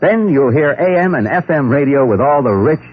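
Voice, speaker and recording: A man's voice: on the high side, animated, normal speed. A man announcing in the style of a vintage radio commercial. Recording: dull, noisy old radio recording.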